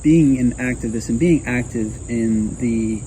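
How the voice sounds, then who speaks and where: A man hosts in a relaxed, supportive way, nudging his guest along. Crickets and rural outdoor ambience are clearly heard behind him. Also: mid-range voice, unhurried, poor audio. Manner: relaxed, affirming with frequent "mm-hmm". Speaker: podcast host. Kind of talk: casual interview hosting. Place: outdoor gazebo. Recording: outdoor insect noise, crickets.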